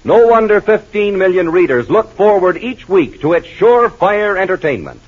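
A man's voice: high-pitched, slightly rough. A man announcing and narrating in 1940s radio style, with an emphatic salesman's delivery. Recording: muffled old radio recording.

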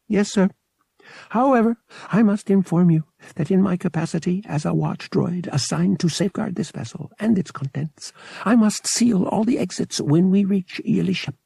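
A man's voice: sits high, moderate pace, creaky, mellow, husky, no room sound, voice pushed up, exaggerated voice. A man voicing a polite, literal-minded little astromech droid in an audiobook, formal, matter-of-fact and mechanical. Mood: formal, apologetic.

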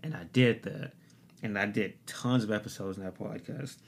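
A man's voice: on the low side, normal speed, vocal fry, breathy edge. A young man hosting his own podcast, talking alone in a loose, rambling, profane and self-mocking way into a desktop microphone. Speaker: podcast host. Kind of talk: casual solo podcast monologue. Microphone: desktop USB microphone.

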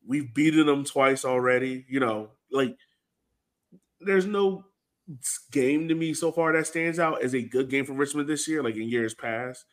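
A man's voice: mid-range voice, steady tone, measured pace. A man hosting a fan podcast, talking through a soccer match in a casual, conversational way peppered with filler phrases. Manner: conversational, heavy use of "like" and "you know". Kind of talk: casual sports talk, breaking down a match.

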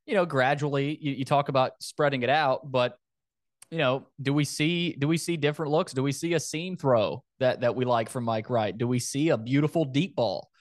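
A man's voice: medium pitch, moderate pace, no room sound, clean studio sound. A man hosting a sports podcast in casual football chat, loose and conversational, often repeating his phrases.

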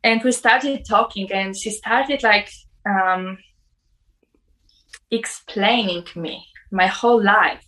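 A young woman from Poland, telling a personal spiritual experience in halting English with many fillers. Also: mid-range voice, pushed and bright, poor audio. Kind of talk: personal testimony.

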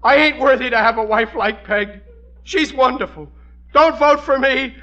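A soft-hearted, blustery American husband in a 1940s radio comedy. His voice sits high for a man and cuts through brightly. He talks plainly and folksily, and the recording is dull and muffled. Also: normal speed. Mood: earnest, rousing. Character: bumbling, soft-hearted working-class husband and father. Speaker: comedy lead actor.

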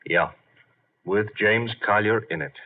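An actor playing a tough, brisk, slangy detective's assistant in a 1950 radio drama. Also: male voice, fairly low pitch, vocal fry. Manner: slangy, wisecracking, animated. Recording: muffled old 1950 radio broadcast.

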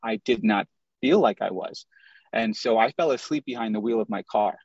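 A man's voice: on the low side, normal speed, darkish tone, husky, no room sound. Man in his thirties on a lo-fi call. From US Midwest. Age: 30s. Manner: candid, storytelling, lists things in runs. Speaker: guest. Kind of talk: casual interview over a video call. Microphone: video call. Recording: dull lo-fi video call audio.

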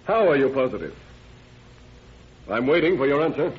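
A man's voice: medium pitch, sing-song, moderate pace, lightly acted. A man playing a pressing, formal defense attorney in an old-time radio drama. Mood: insistent, challenging. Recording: muffled vintage radio recording.

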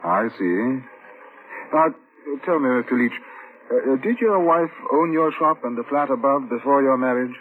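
An actor playing a patient, methodical British chief inspector in an old radio drama, polite, measured and dryly probing. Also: male voice, medium pitch, animated, narrow, tinny audio, close to an everyday voice. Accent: British English. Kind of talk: old radio drama acting and first-person narration. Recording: thin, noisy old radio recording.

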